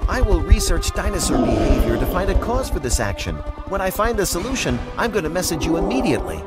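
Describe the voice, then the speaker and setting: A brainy male cartoon airplane speaks in a somewhat high, animated voice, helpful and technical. Music plays behind him. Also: quick pace. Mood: urgent. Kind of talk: children's cartoon dialogue.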